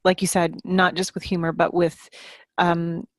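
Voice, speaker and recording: A woman with a lowish voice talks casually about the craft of fiction. She is deliberate, doubling words to stress a point. The recording is dry and free of room sound. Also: little pitch movement, dry recording. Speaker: fiction writer and podcast panelist. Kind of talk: casual podcast chat about writing.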